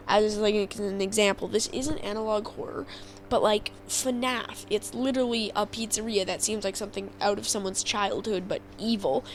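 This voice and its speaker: A woman narrating a casual video explainer in a halting, rambling, conversational way. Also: a little high, a little hiss or hum, low-quality recording.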